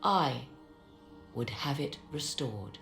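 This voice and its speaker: A woman's voice: extremely low pitch, animated, slow, vocal fry, fairly bright tone, heavily acted. An actress playing a proud, enigmatic witch and scholar of ancient lore in a video game, speaking in formal, archaic, theatrical phrases. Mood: grave, impassioned. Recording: roomy echo, music behind.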